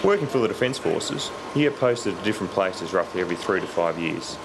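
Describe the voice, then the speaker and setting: An Australian man working as an air traffic controller, explaining his job in a measured, matter-of-fact way. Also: deep voice, lively intonation, a loud crowd, poor audio. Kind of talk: career profile narration with radio phraseology.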